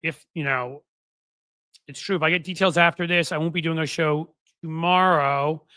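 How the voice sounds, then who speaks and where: A man's voice: a little high, steady tone, moderate pace, bright, forceful tone, smooth, dry recording, clean studio sound. A man hosting a casual livestream, talking straight to his viewers, rambling with many restarts and self-corrections while earnestly pressing his points with rhetorical questions. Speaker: livestream show host.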